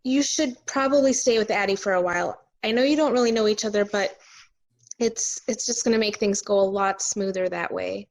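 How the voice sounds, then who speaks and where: A woman reading the part of a blunt, uneasy estranged wife in a dramatic table read, insistent yet halting. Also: mid-range voice, lightly acted. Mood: uneasy, resolute.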